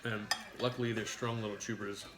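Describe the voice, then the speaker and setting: A man's voice: lowish voice, flat delivery, fairly quick, crowd noise. A man who drives a truck for work, chatting bluntly and teasing over a meal, swearing freely, his nose starting to run. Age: adult parent. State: runny nose. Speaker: mukbang host, truck driver. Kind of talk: casual chat while eating.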